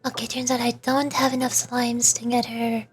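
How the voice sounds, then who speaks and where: A woman's voice: on the high side, flat delivery, normal speed. A woman giving casual, chatty livestream commentary, talking to herself as she plays a video game. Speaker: streamer, virtual YouTuber. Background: game music.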